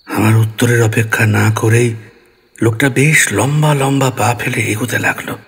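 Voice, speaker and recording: A man's voice: mid-range voice, steady tone, moderate pace, breathy edge. A man narrating a ghost story in the first person, dramatically, acting out his own dialogue.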